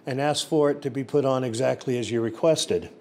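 A man's voice: mid-range voice, a little fast, a little mellow. An older man gives blunt, lecturing public comment at a microphone in a large board meeting room, now and then stumbling over words. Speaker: election precinct chief giving public comment. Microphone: seated at a desk mic.

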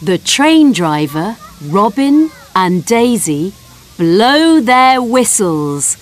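A woman narrating a simple storybook for small children, reading slowly and clearly in a sing-song way. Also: mid-range voice, big pitch swings, noisy background.

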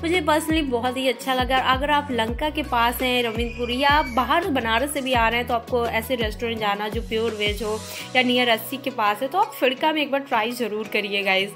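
A woman's voice: on the high side, fairly quick, music in the background. A young woman reviewing a restaurant in a chatty voice-over, mixing English words into her Hindi. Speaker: food vlogger.

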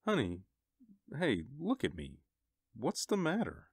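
A man's voice: a little high, wildly swooping pitch, measured pace, gravelly, husky, no room sound, clean studio sound, full character voice. An actor playing an easygoing, affectionate husband and father in a radio drama. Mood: concerned.

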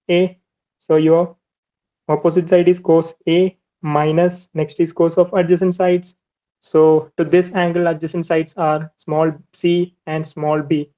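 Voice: mid-range voice, highs cut off. A maritime navigation teacher giving a screen-recorded whiteboard lesson, explaining step by step and repeating points often. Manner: methodical, step-by-step explaining with much repetition.

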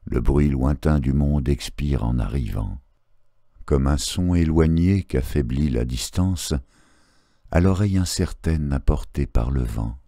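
A man reciting poetry in a solemn literary style, measured and phrase by phrase, with pauses. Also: extremely low pitch, mellow, no echo, studio quality. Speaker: poetry reader.

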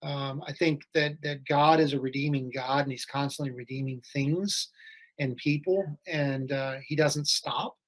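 A middle-aged man, a school head, speaking as a podcast guest over a video call in a thoughtful, measured way. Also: mid-range voice, fairly even intonation. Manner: thoughtful, measured, restarts phrases. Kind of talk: casual interview chat. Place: home or office, video call.

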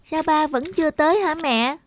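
A woman's voice: high-pitched, normal speed, voice pushed up, lightly acted. A woman voicing a sad, withdrawn little girl in a cartoon, childlike and plaintive. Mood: wistful. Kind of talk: cartoon character voice.

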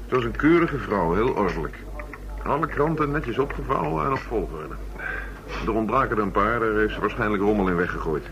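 Low-voiced methodical police inspector. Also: male voice, lively intonation, a little fast. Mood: musing. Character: male police chief inspector methodically reviewing murder cases. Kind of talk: radio drama acting, naturalistic dialogue. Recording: dull lo-fi radio recording, crowd murmur.

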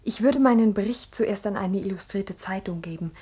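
Teacher lady telling her story, kinda breathy, earnest and careful, old 60s radio drama. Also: mid-range voice, mellow. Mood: reflective, earnest. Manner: deliberate, earnest, reflective. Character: earnest, ambitious village schoolteacher recounting events. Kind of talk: 1960s German-language radio drama narration.

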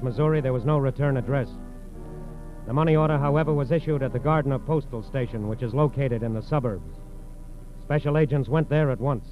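An American man playing the grave narrator of a 1940s radio crime drama, measured and emphatic. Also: medium pitch, lightly acted. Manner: measured, emphatic, repeating phrases. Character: grave male narrator of FBI case files. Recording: dull vintage radio recording, music behind.

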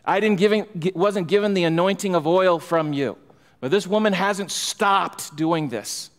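A middle-aged male pastor preaches from the pulpit, his voice a bit higher than most men's. He talks emphatically and builds in fervor. The sound carries a long reverb through a large church hall. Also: measured pace. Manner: emphatic, rising in fervor, gestures while preaching. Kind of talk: expository sermon. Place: church sanctuary, large room indoors. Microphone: pulpit microphone.

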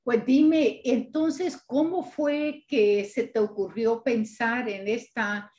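Unhurried older woman interviewer. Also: a little high, darkish tone. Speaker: host interviewer. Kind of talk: casual interview questions. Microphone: video call.

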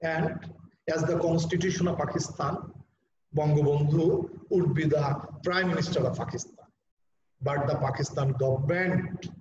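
Middle-aged bangladeshi guy teaching english class online, bit high voice, repeats himself for emphasis, echoey dull webcam sound off at a distance. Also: darkish tone. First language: Bengali. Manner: emphatic, repeats phrases to explain. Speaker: college English lecturer. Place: indoor room. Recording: roomy, dull, noisy webcam audio.

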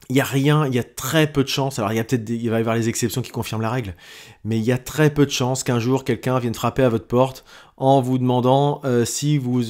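Halting man with many fillers. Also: mid-range voice, darkish tone. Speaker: podcast host. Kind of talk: weekly audio diary monologue.

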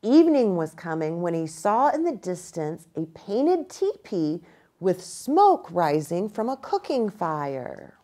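A middle-aged American woman reading a storybook aloud to children in an expressive, deliberate way, pausing within phrases. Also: lowish voice. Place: small indoor room with bookshelf and armchair.

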